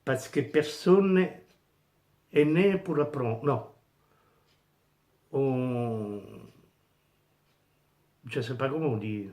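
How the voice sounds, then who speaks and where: Italian-accented man, middle-aged, unhurried, hesitant collector vlogger, mid-pitched. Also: darkish tone. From Italy. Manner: hesitant, many fillers and restarts, searching for words. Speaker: YouTuber showing his pin collection. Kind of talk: casual unscripted vlog.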